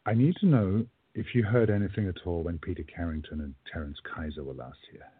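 A man acts a formal, earnest gentleman hero in a radio play, guarded in his manner. His voice is low and husky, and the recording is muffled and lo-fi. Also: fairly quick, dry recording. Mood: urgent. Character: Joe, earnest, guarded gentleman time traveller. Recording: dull lo-fi, music behind.

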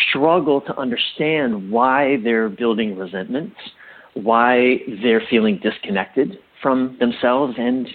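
A middle-aged man speaking reflectively as an interview guest, with a husky voice, often halting and correcting himself with emphasis. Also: medium pitch, narrow, tinny audio. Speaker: interview guest, relationship coach.

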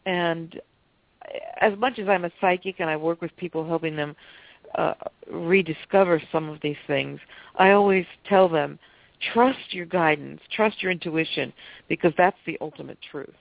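A woman with a stuffed-up cold speaks in a gravelly, dry voice, steadily explaining her ideas. She comes through a narrow, tinny phone line. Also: on the low side, normal speed, dry recording. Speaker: author and psychic guest. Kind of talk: phone interview, explanatory talk.

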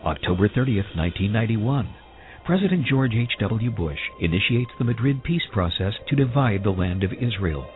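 A man reading a dramatic, scripted radio advertisement in a grave, measured manner. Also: fairly low pitch, big pitch swings. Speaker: commercial voice-over announcer. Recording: tinny broadcast with music behind.

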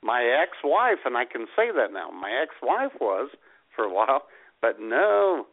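A male radio co-host with a medium, expressively moving pitch trades casual comic banter. His reactions are dry and short, and the sound is phone-like. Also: sing-song, a little slow. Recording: thin, phone-like audio.

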